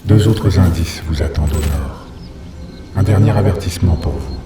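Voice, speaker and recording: Horror film message voice: impersonal, ominous male announcer acted by a man, deep and far off beneath heavy music. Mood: cold, ominous. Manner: impersonal, formal, measured. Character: cold, mysterious male announcer giving survival warnings. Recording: distant, echoey, loud music underneath.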